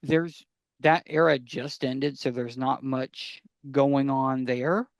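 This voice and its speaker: A man hosting a casual fan podcast, talking enthusiastically and sometimes haltingly as he gives recommendations. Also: mid-range voice, fairly even intonation, no room sound. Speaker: podcast host.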